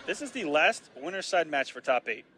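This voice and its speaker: Excitable high bright-voiced male caster. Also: moderate pace, a little hiss or hum. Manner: excitable, jumps to loud outbursts on big plays. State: slightly raspy from long casting. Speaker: esports commentator. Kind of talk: live fighting-game play-by-play.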